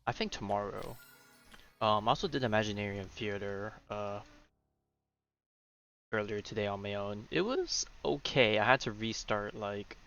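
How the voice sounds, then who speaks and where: A man's voice: lowish voice, moderate pace, pauses often, fairly bright tone, lo-fi sound, soft background music. A young man chatting casually as he streams a game, rambling and often interrupting himself. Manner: rambling, self-interrupting, informal. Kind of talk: casual livestream chat over gameplay.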